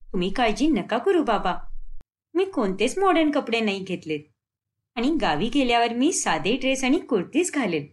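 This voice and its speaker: A woman's voice: fairly high pitch, very animated, normal speed. A woman voicing a sensible, dutiful young daughter-in-law in a cartoon story, polite and earnest. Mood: reassuring.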